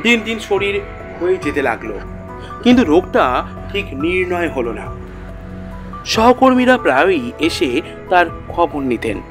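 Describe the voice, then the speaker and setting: Higher-pitched male storyteller over music. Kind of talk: scripted literary narration. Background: background music.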